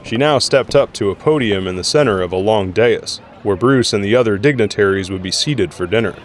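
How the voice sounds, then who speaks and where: A man's voice: lowish voice, fairly quick, a little hiss or hum. A man reading scripted narration for an audio drama. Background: music.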